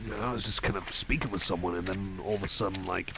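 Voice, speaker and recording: A man's voice: low-pitched, steady tone, fast, gravelly, noisy background. A man playing a shy, friendly cyborg companion in an ASMR roleplay, rambling casually with hesitant, self-deprecating stammers and an eagerness to please. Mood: nervous.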